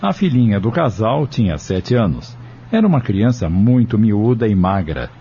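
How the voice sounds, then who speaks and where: Husky, mellow Brazilian male narrator. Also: lowish voice, very animated, moderate pace, highs cut off. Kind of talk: scripted audio novel narration.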